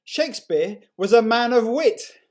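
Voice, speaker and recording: A man's voice: very high voice, lively intonation, measured pace, pitched above the performer's own voice. A man voicing a gauche, eager teenage boy in a story, blurting and cocky. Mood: loud, excited. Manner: blurting, over-keen, cocky.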